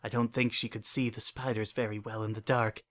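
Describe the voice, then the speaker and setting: A man's voice: lowish voice, level tone, fairly quick, fairly bright tone, lightly acted. A man acting an unhinged, obsessive narrator. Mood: subdued, uneasy. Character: unhinged, obsessive, paranoid male narrator clinging to his pet. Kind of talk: first-person dramatic horror fan fiction reading.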